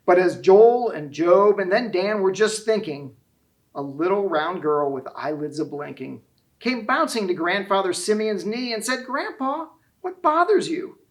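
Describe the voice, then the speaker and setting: A man with a fairly high voice reads a children's storybook aloud. He delivers the rhymed narration expressively, following the lilt of the verse. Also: a little slow. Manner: expressive, sing-song verse reading.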